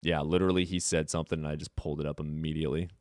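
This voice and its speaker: A man's voice: extremely low pitch, level tone, rapid-fire, dry recording. A man hosting a live call-in debate stream, combative, sarcastic and profane.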